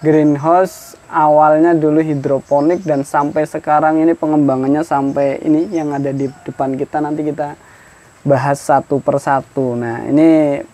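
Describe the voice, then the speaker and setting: A man's voice: on the high side, fairly even intonation, moderate pace, mellow. A man, hosting a casual farm interview in a greenhouse, chatty and full of leading questions. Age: 30s. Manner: chatty, friendly, asks many leading questions. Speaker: podcast host, agricultural extension worker. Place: outdoor greenhouse on a hillside.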